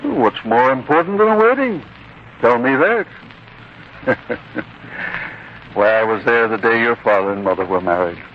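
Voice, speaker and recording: A man acting a kindly, humble old scholar in a 1940s radio drama, speaking in a halting, courteous, avuncular way. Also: medium pitch, expressive, close to an everyday voice. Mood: fond, teasing. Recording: muffled, noisy 1940s radio recording.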